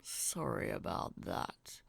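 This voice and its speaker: A woman voicing a huge male giant in a story, a gentle husband who talks in a mild, conversational, apologetic way. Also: no echo, lightly acted. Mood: casually apologetic. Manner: slow, conversational, mild-mannered.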